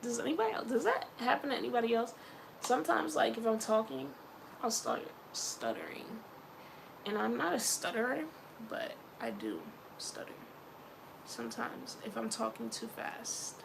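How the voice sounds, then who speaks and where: Chatty Philly woman with gravelly edge. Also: on the high side, sing-song, normal speed, background noise. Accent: Philadelphia. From Philadelphia. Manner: chatty, rambling, laughs often, faith talk. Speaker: vlogger. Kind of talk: casual personal vlog.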